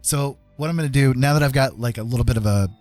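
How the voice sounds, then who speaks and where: A man chatting casually on an art livestream, thinking aloud in a rambling, halting way as he works. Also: medium pitch, darkish tone. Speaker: livestream host, digital artist. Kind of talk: casual chat while drawing on a livestream. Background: background music.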